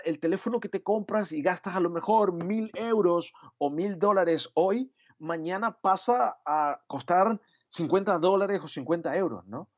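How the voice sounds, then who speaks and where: A man's voice: sits high, darkish tone. Venezuelan man preaching, dull lo-fi sound. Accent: Venezuelan Spanish. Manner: reflective, frequent "eh" and "¿no?" tags. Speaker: pastor. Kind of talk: sermon.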